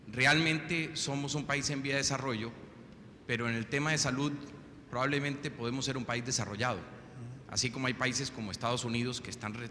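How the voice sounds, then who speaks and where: A man from Rica, a businessman in the audience putting an earnest question to a panel after telling an anecdote. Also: sharp tone. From Costa Rica. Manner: earnest, tells an anecdote before his question, with fillers. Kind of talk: question from the floor at a conference. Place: large conference hall. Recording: long hall reverb with faint low rumble.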